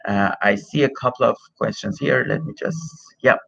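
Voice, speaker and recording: A man's voice: fairly low pitch, normal speed, gravelly, a bit bright. A middle-aged man whose first language is Farsi, hosting a live Q&A as an immigration consultant, hesitant with many fillers and restarts, speaking into a cell phone. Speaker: immigration consultant, livestream host. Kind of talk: live Q&A, reading and answering viewer questions. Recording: dull lo-fi phone audio.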